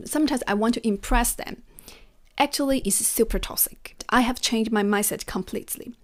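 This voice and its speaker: A woman whose first language is Chinese, talking straight to her viewers in an earnest, encouraging way about learning languages. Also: fairly high pitch. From China. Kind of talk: personal vlog monologue.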